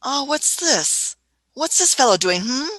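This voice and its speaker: A woman's voice: high voice, very animated, a little slow, fairly bright tone, dry recording, full character voice. A woman in a radio play acting an eccentric, imperious older time traveller who is fussy, hesitant and repeats her phrases. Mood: fussy, indignant.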